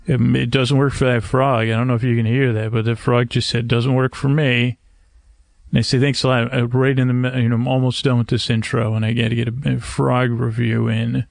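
A man with a creaky, gravelly voice at a medium pitch hosts a sleep podcast. He wanders through his monologue in measured, hesitant tones, gently poking fun at himself. The sound is close and dry. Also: little pitch movement, dry recording. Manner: hesitant, meandering, measured, gently self-deprecating. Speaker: podcast host. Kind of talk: rambling, soothing bedtime monologue to help listeners sleep. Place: screened porch at night.

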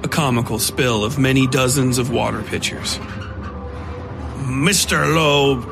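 A man with a medium-pitched voice narrates a horror story. His delivery is scripted and dramatic, and music plays clearly behind him. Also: fairly even intonation, moderate pace. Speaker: narrator of a horror fiction podcast.

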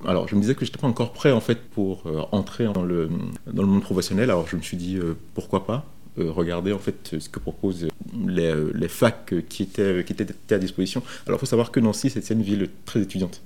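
A man in his thirties from Benin recounts his career informally, speaking in a halting way with frequent repetitions. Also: sits low, warm tone. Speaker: software developer interviewed about his career. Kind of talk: informal first-person career account.

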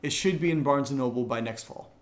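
A man's voice: medium pitch, fast talker. Male podcast co-host, mid-range voice, bantering with friends while moving a listener Q&A along. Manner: joking, keeps steering back to questions. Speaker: podcast host reading patron questions. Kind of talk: casual Q&A banter.

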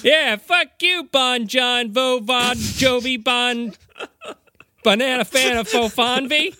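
Male co-host, snarky comic interjections, sharp tone, swooping pitch, close dry recording. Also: slow pace, no room sound. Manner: snarky, sarcastic, quipping. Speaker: podcast co-host. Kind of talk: casual comic banter.

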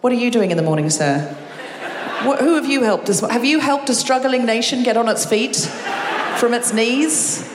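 A woman hosting a live comedy show on stage, teasing and chatting quickly with the audience. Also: fairly high pitch, noisy background. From Australia. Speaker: comedy podcast host. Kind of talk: live stand-up crowd work. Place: large concert hall with live audience. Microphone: stage microphone. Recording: roomy hall with long reverb.

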